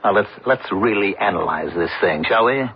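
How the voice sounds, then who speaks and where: A man playing an impatient, cold-blooded, domineering middle-aged businessman husband in a radio drama, with a rough, husky voice. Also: on the low side, animated, normal speed, slight background noise. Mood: scornful, impatient. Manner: domineering, dismissive, forceful phrasing. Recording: dull lo-fi radio broadcast recording.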